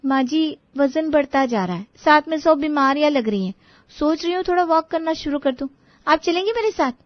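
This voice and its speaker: Young, fed-up daughter-in-law, played by a woman, high and gossipy, grumbling, dry lo-fi story audio. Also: animated, a little fast, dry recording. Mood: exasperated. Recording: dull lo-fi audio.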